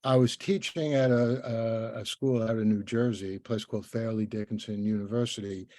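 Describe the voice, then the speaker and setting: An older man hosting a casual interview, talking in a halting, rambling way with many restarts. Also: fairly low pitch, fairly even intonation, darkish tone, dry recording, studio quality. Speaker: interviewer, podcast host.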